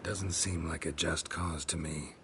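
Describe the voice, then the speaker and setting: A very low, gruff male voice for a video game monster hunter. He speaks in short, deadpan remarks with a sardonic edge and gives little away. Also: measured pace, noisy background. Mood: dry, skeptical. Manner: terse, dry, deadpan. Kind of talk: video game dialogue acting.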